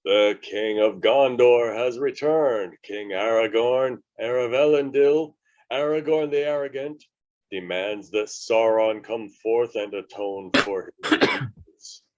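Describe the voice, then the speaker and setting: A man playing a grave old wizard in a table read, declaiming slowly and solemnly. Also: mid-range voice, fairly even intonation, no room sound, lo-fi sound, lightly acted. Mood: proclaiming.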